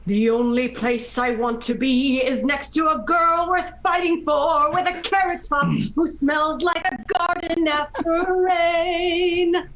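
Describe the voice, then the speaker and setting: A woman's voice: very high voice, fairly even intonation, takes it slowly, hardly any pauses, fairly bright tone, heavily acted, rough recording. A woman playing a defiant, earnest male army commander held captive in a 1940s-style radio play, declaiming the lines. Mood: yearning.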